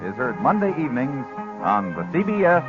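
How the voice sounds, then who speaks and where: A man's voice: medium pitch, big pitch swings, fairly quick. A man announcing formally and dramatically in the manner of 1950s American network radio. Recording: dull 1950s radio recording with music. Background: theme music.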